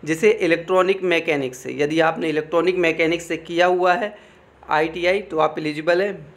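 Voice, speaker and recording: A man's voice: a little high, steady tone, brisk, vocal fry. A man giving an informal explainer, reading out official text and commenting, often repeating phrases and adding check-in tags. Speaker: exam information presenter.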